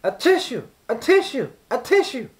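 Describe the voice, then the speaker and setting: Small, timid male storybook character, acted by a man reading aloud: puzzled, plaintive, sneezy, with animated pitch swings. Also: moderate pace.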